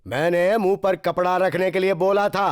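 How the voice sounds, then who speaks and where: A man's voice: high voice, steady tone, moderate pace, natural voice. A man voicing an exasperated, scolding schoolteacher in a theatrical style for a comic cartoon. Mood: stern, exasperated. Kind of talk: cartoon voice acting. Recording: music behind the voice.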